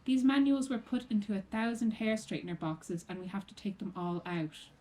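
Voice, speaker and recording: Woman playing mellow-voiced, exasperated factory supervisor. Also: medium pitch, fairly even intonation, normal speed, a little hiss or hum, natural voice, rough recording. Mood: reproachful. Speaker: actor in a radio play. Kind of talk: radio play acting.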